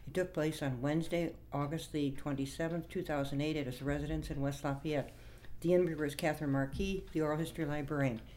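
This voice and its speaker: A woman's voice: deep voice, steady tone, fast, dark tone, slight background noise. A woman conducting an oral history interview as a librarian, prompting with simple questions, recorded in a home.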